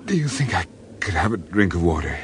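An actor playing a cornered American crime boss and proud gambler in a radio crime drama, halting and stammering under pressure. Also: male voice, deep voice, sing-song, slight background noise. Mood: pained, faltering.

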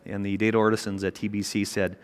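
A middle-aged man giving an energetic, emphatic keynote speech on a large conference stage, with a small mic. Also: fairly low pitch, quick pace, clean tone. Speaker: keynote speaker, company chairman. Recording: long reverb of a large hall.

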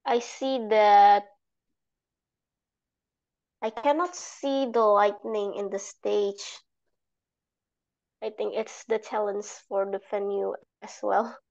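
A young woman with an Indonesian accent giving halting, simply phrased speech feedback as an evaluator on a video call. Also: medium pitch, steady tone, gravelly, darkish tone, lo-fi sound. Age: young adult. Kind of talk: spoken speech feedback at a club meeting.

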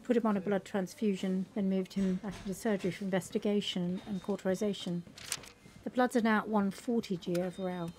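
A woman playing a brisk, clinical hospital medic in an audio drama. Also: medium pitch, steady tone, darkish tone, a little hiss or hum, natural voice. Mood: brisk, concerned.

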